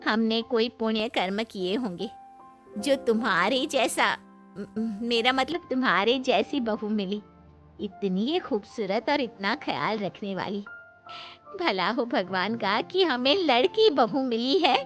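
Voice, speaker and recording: A woman's voice: fairly high pitch, lively intonation, a little slow, a bit bright, faint music behind. A woman voicing a fussy, grumbling older mother-in-law in an exclamatory cartoon story style. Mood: amazed. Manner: exclamatory, scolding. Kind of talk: cartoon moral-story acting.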